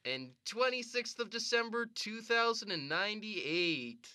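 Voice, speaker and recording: A woman playing a chirpy, absurdly confident weatherman, brisk and matter-of-fact, in improvised radio comedy. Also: medium pitch, very animated, pushed and bright, no room sound, clean studio sound.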